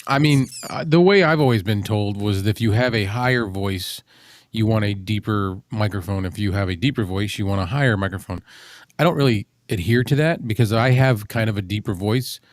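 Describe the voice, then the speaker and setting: Easygoing man with a lower voice, sharing gear experience casually. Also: fairly quick, no echo, clean studio sound. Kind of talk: casual chat about microphones.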